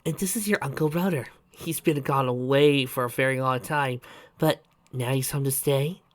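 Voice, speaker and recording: A man voicing a kind, excitable young queen in a gentle, gushing manner. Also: mid-range voice, normal speed, natural voice. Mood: affectionate.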